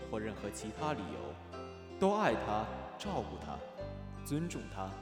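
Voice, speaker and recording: Solemn priest in an echoing hall. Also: male voice, fairly low pitch, huge pitch swings, full character voice. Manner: solemn, measured declamation. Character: solemn male priest officiating a wedding. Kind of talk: modern Chinese audio drama acting. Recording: echoing church hall, loud music behind.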